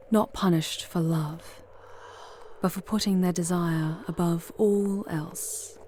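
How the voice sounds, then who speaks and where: Woman playing a slow, sultry female demon. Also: on the low side, darkish tone, a little hiss or hum. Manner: sultry, breathy, often dropping into whispers; theatrical, formal, drawn-out phrasing. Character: charming, seductive female demon guide through hell. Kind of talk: intimate ASMR roleplay, scripted monologue. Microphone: close ASMR microphone.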